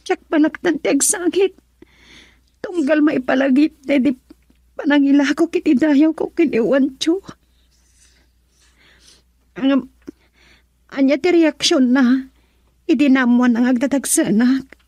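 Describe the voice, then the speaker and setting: A woman with a Filipino accent playing an anguished young daughter in a radio drama, speaking in a soft, breathy, plaintive way. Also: sits high, a little slow, long pauses, dry recording. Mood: tearful. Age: young adult. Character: anguished, tearful young pregnant married daughter. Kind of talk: Ilocano radio drama acting.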